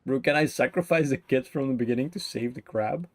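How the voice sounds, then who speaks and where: A man's voice: medium pitch, brisk, noisy background. A man giving casual, playful live commentary as he streams a game, joking as he goes. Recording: faint game music behind.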